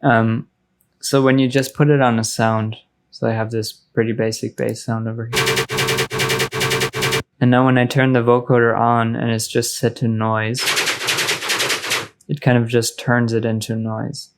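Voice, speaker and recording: A man narrating a casual software tutorial as a music production tutor, informal and explanatory in manner. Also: mid-range voice, flat delivery, unhurried, pauses often, heavy background noise.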